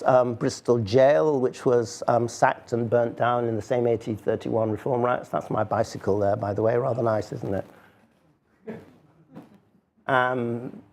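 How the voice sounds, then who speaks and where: A man's voice: mid-range voice, a little mellow. A man giving an informal live talk as a speaker on sound art, hesitant and conversational with wry asides.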